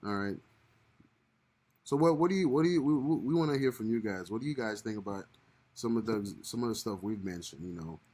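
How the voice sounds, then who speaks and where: A man's voice: lowish voice, brisk, darkish tone. A young man co-hosting a casual livestream with his wife, rambling and repeating himself as he restarts his sentences. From Haiti. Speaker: co-host of a married couple's livestream.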